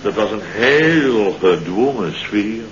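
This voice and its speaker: Flustered comic storyteller, indignant, halting and repetitive, lively intonation, 1970s radio play, dull noisy recording. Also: male voice, lowish voice. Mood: aggrieved. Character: flustered man telling a farcical tale of woe.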